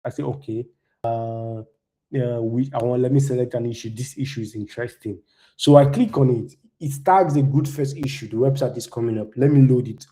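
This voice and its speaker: A young man from Nigeria, English not his first language, walks an audience through a live demo in a loose, chatty way. His voice is mellow and warm, sitting at a middling pitch. He keeps checking in with a quick "right?" after nearly every point. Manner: loose and conversational, tags "right?" often. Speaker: conference speaker.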